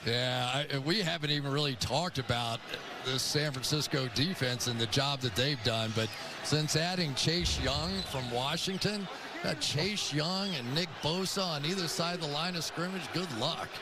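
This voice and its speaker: Guy doing football analysis on a broadcast, slightly high voice, chatty, stadium noise behind. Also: moderate pace. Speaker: television color commentator. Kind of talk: conversational football analysis and anecdotes. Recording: noisy game broadcast audio.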